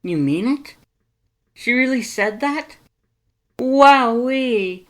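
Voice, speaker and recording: A reader voicing an exuberant, kind-hearted male skeleton in a fan fiction story, loud and emphatic with formal wording. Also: lively intonation, very slow. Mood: overjoyed, yelling. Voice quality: loud, booming. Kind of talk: animated fan fiction character reading.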